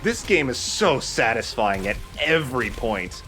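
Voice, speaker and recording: A man's voice: mid-range voice, sing-song, unhurried, a bit bright, soft background music. A man hosting a casual let's play, explaining the game and giving his opinions, with some mild swearing. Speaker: host playing a video game.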